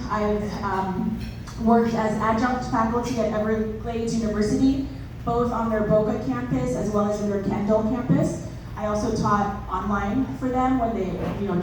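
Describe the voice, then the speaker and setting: A woman's voice: a little high, noisy background. Upbeat woman speaking from a distance in an echoey room. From born in Israel, grew up in Southern California. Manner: chatty and upbeat, laughs often, explains step by step. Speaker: acupuncturist and naturopathic doctor. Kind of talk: casual presentation and demonstration.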